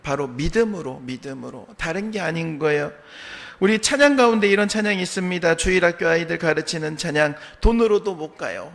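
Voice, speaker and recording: A man preaching a sermon as a pastor, his voice a little hoarse from a sore throat, earnestly asking the congregation questions and answering them. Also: on the high side, expressive, brisk, fairly bright tone. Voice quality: slightly strained, hoarse voice. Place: church sanctuary.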